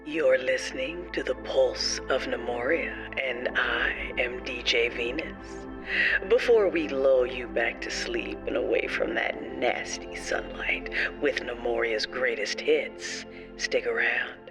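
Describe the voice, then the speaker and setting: Slow-talking vampire radio DJ. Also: male voice, medium pitch. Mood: inviting. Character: smooth vampire radio DJ hosting a hits show. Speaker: announcer and radio host. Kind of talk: radio show announcing. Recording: prominent music under voice.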